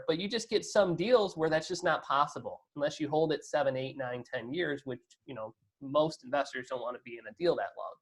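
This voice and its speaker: A man with a somewhat high-pitched voice talks as a guest in a business interview. He explains things in a casual, conversational way. He often punctuates his points with small tag questions. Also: rough recording. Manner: conversational, peppered with "you know" and "right?" tag questions. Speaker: interview guest, real estate investor.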